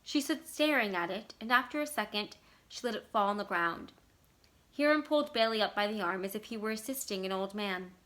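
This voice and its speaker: Woman, story reader, bright crisp-edged voice, mid pitch, scripted literary narration. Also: expressive, a little fast. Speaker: library staff member reading a story aloud.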